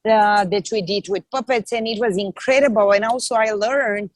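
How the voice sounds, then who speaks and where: A woman with a Bulgarian accent, chatting in English as a guest actress and puppeteer, restarting her sentences, her voice a little worn and low from doing voices. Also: normal speed, dry recording. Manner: chatty, restarts sentences, non-native phrasing. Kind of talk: casual video-call conversation.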